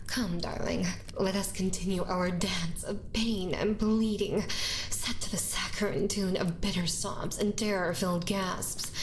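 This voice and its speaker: A woman voicing a doting, bloodthirsty villain wife in a theatrical, breathy ASMR roleplay, often whispering and savoring florid words close to the microphone. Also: mid-range voice, slight background noise, low-quality recording. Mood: sinister, soothing. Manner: florid, theatrical, adoring, savoring each word. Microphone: close binaural microphone.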